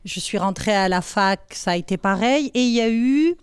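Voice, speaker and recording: A woman in her sixties from Algeria, answering schoolchildren's questions in a classroom, thoughtful and halting with many pauses. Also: mid-range voice, lively intonation, clear, resonant tone, dry recording. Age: 60s. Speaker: women's rights activist answering pupils' questions.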